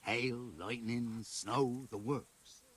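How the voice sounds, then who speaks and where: A man's voice: medium pitch, steady tone, very slow, breathy edge. A man playing a boastful, idle tavern storyteller, telling tall tales in a theatrical, self-important manner. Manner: melodramatic, self-important, indignant when interrupted.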